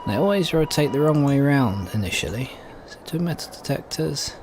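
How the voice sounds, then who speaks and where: A man's voice: medium pitch, expressive, moderate pace, background noise. A man giving casual let's play commentary, thinking aloud in a hesitant way as he goes. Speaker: gaming video host.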